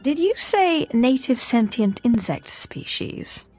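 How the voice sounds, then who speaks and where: Lively, bold dark sorceress. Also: female voice, on the high side, takes it slowly, faint music behind, heavily acted. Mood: assured, teasing. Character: bold, meddling female dark-side sorceress. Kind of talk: dramatic video game cutscene acting.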